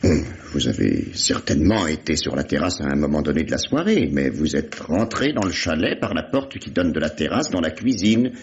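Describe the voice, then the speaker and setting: A man's voice: a bass voice, very animated, moderate pace, hardly any pauses, a little hiss or hum, full character voice. An actor playing a wry, insistent investigator in a vintage radio mystery, sardonic and falsely fatherly as he questions a suspect. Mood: insistent, coaxing. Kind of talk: 1950s French radio mystery acting. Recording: dull lo-fi old radio recording.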